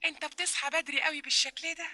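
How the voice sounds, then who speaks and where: Coy, high-pitched Egyptian flirt. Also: female voice, fairly even intonation, very fast, pushed and bright. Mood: coy, apologetic. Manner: drawling, exaggerated coyness. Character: coy, teasing, flirtatious woman on a late-night phone call. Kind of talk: old Egyptian radio comedy acting.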